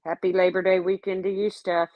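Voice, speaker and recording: A woman's voice: on the low side, level tone, a little fast, dry recording, highs cut off. A woman in her late fifties hosting a casual livestream, talking bluntly and sarcastically as she rambles and answers her chat. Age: 50s. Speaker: YouTube livestream host.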